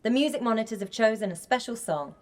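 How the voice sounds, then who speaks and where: Actress as an encouraging schoolteacher, expressive storyteller. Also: female voice, on the high side, quick pace, close to an everyday voice. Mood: proud, ceremonial. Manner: expressive, projected, storytelling delivery. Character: encouraging woman schoolteacher addressing her pupils. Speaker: actress playing a teacher. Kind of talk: radio drama acting and narration.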